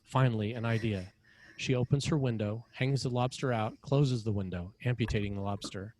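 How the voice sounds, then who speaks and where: A man's voice: medium pitch, normal speed, a little mellow, poor audio. A man reads scripted scene directions as the narrator of a screenplay table read.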